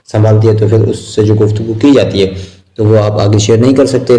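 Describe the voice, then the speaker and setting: Mellow-voiced Pakistani man lecturing haltingly. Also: fairly low pitch, rough recording. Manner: repetitive, halting explanation with English terms mixed in. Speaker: law lecturer. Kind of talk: informal exam-prep lecture explaining point by point.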